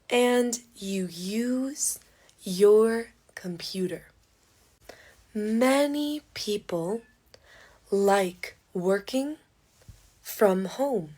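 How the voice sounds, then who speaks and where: A woman hosting a podcast for English learners, speaking in deliberate short phrases with pauses between them. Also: medium pitch, a little mellow. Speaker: English teacher and podcast host.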